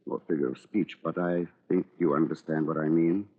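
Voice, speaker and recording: An actor playing a cold, conniving attorney with a formal, pompous, calculating manner in an old-time radio drama. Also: male voice, extremely low pitch, fairly even intonation, moderate pace, very noisy. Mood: coldly menacing. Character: cold, conniving male attorney, cleverly manipulative. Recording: muffled old radio recording, music behind.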